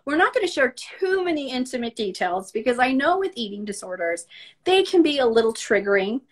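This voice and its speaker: A woman's voice: on the high side, big pitch swings, fairly quick. A middle-aged woman hosting a live video call interview, talking in an encouraging way with many fillers and restarts, on a webcam at home. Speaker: interview host. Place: home room, indoors.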